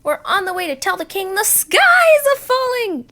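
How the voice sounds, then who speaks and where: A woman voicing excitable, worried farmyard birds in a children's story, full of exclamations. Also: very high-pitched, moderate pace, pitched above the performer's own voice, exaggerated voice. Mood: alarmed. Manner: exclamatory, quacking, honking and gobbling.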